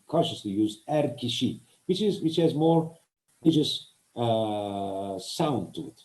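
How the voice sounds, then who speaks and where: A man with a Turkish accent, a native Turkish speaker, giving an academic lecture as a translator, often pausing and searching for words. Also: on the low side, warm tone, poor audio. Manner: hesitant, searching for words with many pauses.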